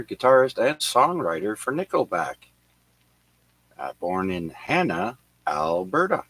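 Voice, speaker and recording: A man hosting an amateur radio net, reading notes on who was born and who died on this day, with many filler pauses. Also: lowish voice, fairly bright tone, no echo. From Canada. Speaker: radio net control host. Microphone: radio transceiver microphone.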